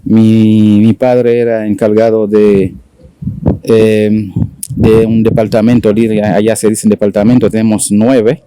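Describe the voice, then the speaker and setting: A man in his forties from Haiti, speaking Spanish with a Dominican accent, talking haltingly with many fillers as an interview guest. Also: fairly low pitch, level tone, mellow, clear, resonant tone, no echo. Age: 40s. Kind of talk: casual conversational interview.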